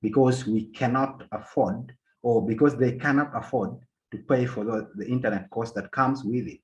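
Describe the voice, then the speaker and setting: A man from Uganda speaks on a panel at a middling pitch, delivering an academic policy talk. He halts often and repeats words as he restarts his sentences. The recording is dull and lo-fi. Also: moderate pace. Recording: dull lo-fi sound.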